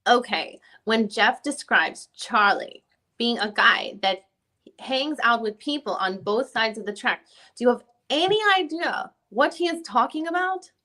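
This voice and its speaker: A woman's voice: on the high side, lively intonation, measured pace, bright, forceful tone. A woman reading the part of a persistent defense lawyer questioning a witness in a dramatic reading of a deposition, often rephrasing her questions. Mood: pressing, methodical. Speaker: reader playing a deposing attorney.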